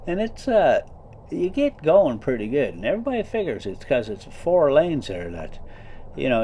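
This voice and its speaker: A man's voice: lowish voice, warm tone, slight background noise. A man reading a story aloud in a folksy, theatrical way, with casual colloquial asides, while driving a motorhome. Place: inside a motorhome being driven.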